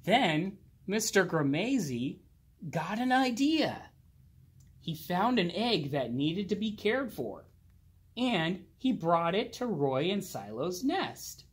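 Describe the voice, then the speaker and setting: A man reading a children's picture book aloud expressively, drawing out words and pausing. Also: high-pitched, slightly rough. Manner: slow, animated, with drawn-out words and pauses. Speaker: children's storybook reader. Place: indoors, library with bookshelves.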